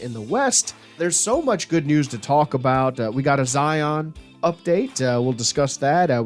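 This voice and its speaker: A man hosting a podcast in a casual, informal sports chat style. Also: fairly high pitch. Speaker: podcast host.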